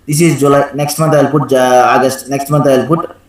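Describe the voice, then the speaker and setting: Male software trainer in an echoey room. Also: medium pitch, fairly even intonation, normal speed. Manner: instructive, frequently checks with "right?". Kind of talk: live screen-share software tutorial with questions to students.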